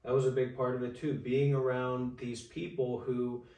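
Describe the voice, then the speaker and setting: A man in his twenties tells his story in a halting, unhurried way. His voice sits in a narrow, flat range. It comes from some distance off, in a room with lots of echo. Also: mid-range voice. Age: 20s. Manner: halting, many fillers and restarts. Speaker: interview guest, Buddhist monk. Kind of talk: casual storytelling in an interview. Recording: echoey room, far from the mic.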